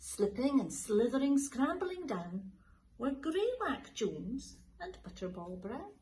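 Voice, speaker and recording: A woman teacher reading a children's picture book aloud in a slow, lilting, expressive way. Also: sits high, a little hiss or hum, poor audio. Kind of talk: storybook read-aloud for children.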